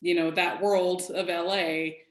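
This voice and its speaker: A woman's voice: fairly low pitch, level tone, measured pace. A woman giving casual, emphatic feedback on a video call, repeating her key phrases. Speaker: participant giving script feedback. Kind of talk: casual spoken critique. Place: echoey room, on a video call. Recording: roomy, echoey video call audio.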